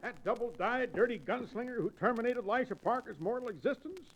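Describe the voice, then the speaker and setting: An actor playing an excitable, wordy, pompous comic sidekick in an old-time radio western. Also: male voice, very high voice, brisk, breathy edge, exaggerated voice, poor audio. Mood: indignant. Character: excitable, flowery-talking comic frontier sidekick.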